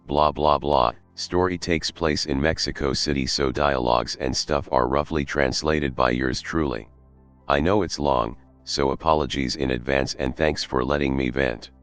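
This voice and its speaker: A man narrating a Reddit story in a steady, even read. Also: extremely low pitch. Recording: faint background music.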